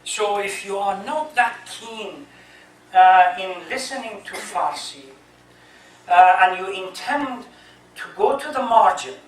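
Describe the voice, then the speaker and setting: A man, a native Persian speaker, delivering an impassioned, emphatic religious lecture as an imam, halting at times and posing rhetorical questions. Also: sits high, animated. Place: echoey hall, speaking to a congregation. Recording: roomy distant mic, faint noise.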